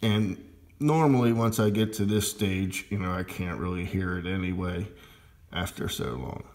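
A man giving a casual, unscripted hands-on demonstration of his hobby equipment, talking in a halting way. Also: deep voice, darkish tone. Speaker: amateur radio hobbyist demonstrating gear.

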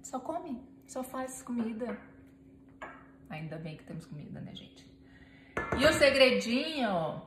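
Woman, 30s, home cooking vlogger; chatty, playful, slow and unhurried delivery, echoey kitchen with steady background noise. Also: mid-range voice. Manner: chatty and playful, talks to viewers and her helper while kneading. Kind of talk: casual cooking demonstration.

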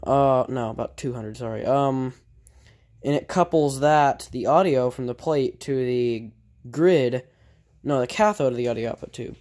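A man talking casually off the cuff like a hobbyist repairer showing his work, halting and correcting himself as he thinks aloud. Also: mid-range voice, a little mellow. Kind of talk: casual off-the-cuff workshop vlog. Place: indoor workshop at a cluttered bench.

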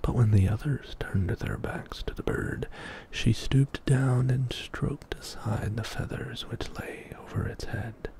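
A middle-aged man reading a bedtime story as ASMR in a soft, breathy whisper, hushed and soothing, close to the microphone in a small indoor studio. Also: medium pitch. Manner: slow, hushed, soothing narration.

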